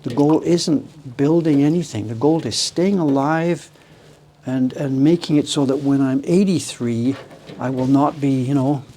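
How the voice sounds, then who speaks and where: A man's voice: medium pitch, measured pace, warm tone, slight background noise. A man in his seventies chatting casually on a live stream while demonstrating his workshop tools, rambling and breaking into amused laughter. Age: 70s.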